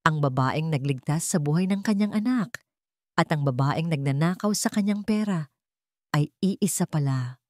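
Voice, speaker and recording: A woman's voice: low voice, lively intonation, moderate pace, darkish tone. A woman with a Filipino accent narrating a scripted, dramatic romance story.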